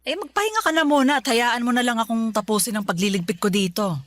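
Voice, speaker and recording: An actress playing a caring, hardworking single mother in a radio drama, speaking tenderly and insisting gently. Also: a little high, fast, dry recording, close to an everyday voice.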